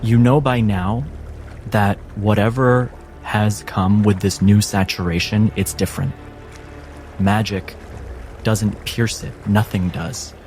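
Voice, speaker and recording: A man with a lowish voice narrates as a game master, taking his time with deliberate pauses. The delivery is dramatic and suspenseful, with music underneath. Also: steady tone, a little mellow. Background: background music and sound effects.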